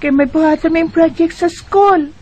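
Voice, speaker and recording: An actress playing a meek young schoolchild asking a parent for help in a radio drama. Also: female voice, extremely high pitch, moderate pace, warm tone, lo-fi sound. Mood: timid asking.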